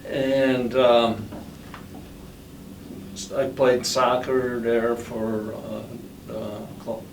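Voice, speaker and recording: An older man born in the Netherlands, with Dutch as his first language, recalling his past slowly with many pauses and fillers in an oral history interview. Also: medium pitch, level tone, gravelly. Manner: slow, halting, many fillers. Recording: roomy, distant, noisy recording.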